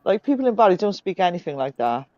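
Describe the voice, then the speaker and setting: A man's voice: high voice, big pitch swings, fast talker, a little mellow, no echo, highs cut off. Teasing South Welsh man. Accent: South Wales. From Wales. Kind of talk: casual chat.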